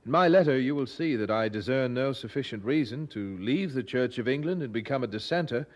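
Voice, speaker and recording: A man's voice: medium pitch, expressive, brisk, close to an everyday voice. Casting: male actor for earnest period preacher in audio drama; mid-range voice, declamatory, formal, resolute. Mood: firm, indignant. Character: earnest, resolute 18th-century English preacher.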